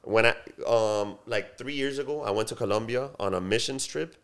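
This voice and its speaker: A young man with a deep voice, chatting loosely as a podcast guest with lots of fillers and restarts. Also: moderate pace, a little hiss or hum. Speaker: podcast guest, soccer field owner. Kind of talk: casual podcast chat.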